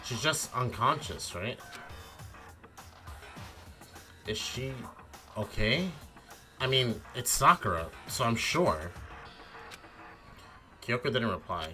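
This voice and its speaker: A man's voice: mid-range voice, sing-song, slow, long pauses, sharp tone, soft background music, heavily acted. A man voicing an earnest, anxious teenage schoolboy who narrates his own mystery in a visual novel read-aloud, with dramatic expression. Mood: worried.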